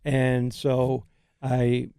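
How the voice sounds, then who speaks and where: An older man hosting a radio show in casual chat, his voice slightly raspy, rambling with many restarts. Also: medium pitch, monotone, extremely slow, warm tone, no echo, studio quality. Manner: rambling, restarts often, laughs easily.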